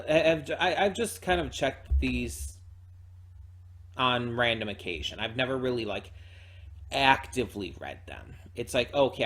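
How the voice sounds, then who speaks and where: A man hosting a reaction channel, giving casual spoken review commentary in a halting way, repeating words as he thinks aloud. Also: medium pitch, fairly bright tone.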